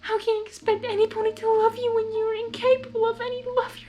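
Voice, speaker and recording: A woman voicing a stubborn, defiant young female pony held captive, shrill and indignant, in a storybook reading. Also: little pitch movement, fast talker, mellow. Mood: bitterly indignant.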